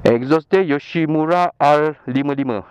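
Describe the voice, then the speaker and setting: A man's voice: fairly high pitch, unhurried, a little mellow, smooth. A young man with a Malaysian Malay accent, chatting enthusiastically about motorbikes in a casual vlog, laughing often. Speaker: motovlogger. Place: outdoors in a leafy park road, beside motorbikes. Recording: outdoor wind and engine noise.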